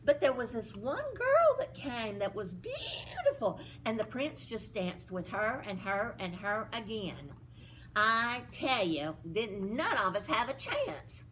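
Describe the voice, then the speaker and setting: A boastful, gossiping stepsister from a fairy tale, played by a woman reader, drawling her brags. Her voice sits a bit high and swings widely, and she takes her time. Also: wildly swooping pitch, takes it slowly, fairly bright tone, slight background noise, full character voice, rough recording. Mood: gossipy.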